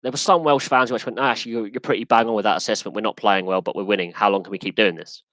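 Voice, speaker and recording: A man with an English accent hosting a live sports podcast Q&A, talking in an animated, emphatic way that often restarts and repeats itself. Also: fairly low pitch, very fast, no echo. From England. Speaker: podcast host. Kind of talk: live Q&A rant.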